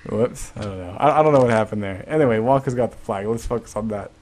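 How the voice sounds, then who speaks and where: A man's voice: lowish voice, fast, a little mellow. A man giving casual, joking play-by-play commentary on an online game match, swearing freely. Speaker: esports commentator.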